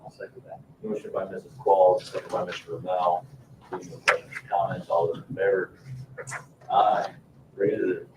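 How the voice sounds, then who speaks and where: A man's voice: deep voice, level tone, moderate pace. A man chairing a council meeting as president, speaking in formal procedural style. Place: indoor town council meeting room. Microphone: distant room microphone. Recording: muffled, echoey, distant mic with clear noise.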